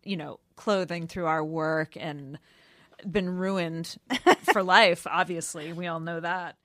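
Giggly, enthusiastic woman with a lowish voice. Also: fairly even intonation, slow pace, a bit bright. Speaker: podcast guest. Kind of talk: casual, friendly chat.

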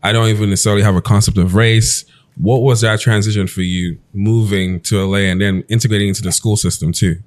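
This is a Nigerian-born man hosting an interview. His voice sits on the low side, and he listens closely, murmuring agreement before he asks open, probing questions. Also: fairly even intonation, fast. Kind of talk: conversational interview.

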